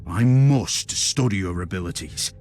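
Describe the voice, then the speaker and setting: Commanding, animated male inquisitor. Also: deep voice, faint music behind. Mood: defiant, negotiating. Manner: formal, authoritative, measured. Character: commanding, calculating male inquisitor of a grim sci-fi empire. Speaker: game character. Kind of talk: dramatic video game cutscene acting.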